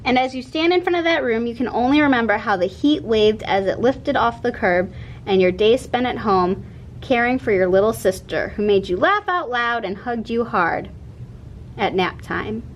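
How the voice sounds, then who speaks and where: Higher-pitched woman reading a picture book aloud. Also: animated, normal speed. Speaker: read-aloud narrator. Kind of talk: expressive children's picture book read-aloud. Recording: noticeable background noise with low rumble.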